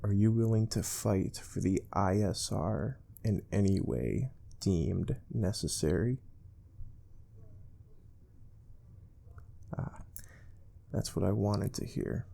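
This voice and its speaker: Stern, calm space research recruiter, played by a man, ASMR role play; low-pitched, soft-spoken, very slow, formal delivery. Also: gravelly, heavily acted. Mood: calm, grave. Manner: measured, deliberate, formal.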